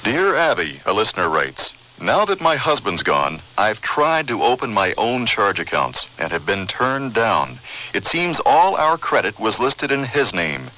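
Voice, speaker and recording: A man's voice: lowish voice, sing-song, a little slow, sharp tone, low-quality recording. A man reading a scripted public service announcement on the radio.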